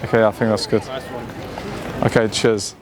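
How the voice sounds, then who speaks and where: A man's voice: fairly low pitch, little pitch movement, moderate pace. A man casually interviewing people on a busy city street, asking easygoing questions full of fillers. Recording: busy street crowd behind.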